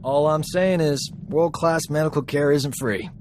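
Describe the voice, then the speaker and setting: A man's voice: a little high, a little slow, a little hiss or hum, lightly acted. A man playing a cocky, boastful space medic. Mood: breezy, boastful. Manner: boastful, breezy, self-important. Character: cocky, smooth-talking male medic, boastful ladies' man. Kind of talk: video game cutscene acting.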